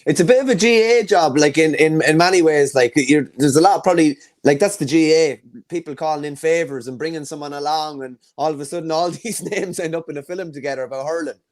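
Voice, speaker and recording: A man's voice: a little high, fast, few pauses. A man co-hosting a show, joking and teasing the guest in casual banter. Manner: teasing, jokey. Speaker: podcast co-host.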